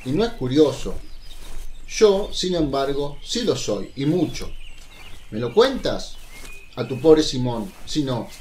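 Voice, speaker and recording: A man acting Simón Pedro, lively curious fisherman. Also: fairly high pitch. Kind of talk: radio drama acting. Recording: echoey room with some background noise.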